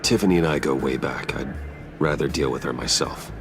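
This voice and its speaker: A man's voice: very deep voice, fairly quick, music in the background. An actor playing a stern, brooding male masked vigilante in a video game, speaking in a terse, grave, restrained way. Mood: grave, firm. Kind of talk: video game cutscene acting.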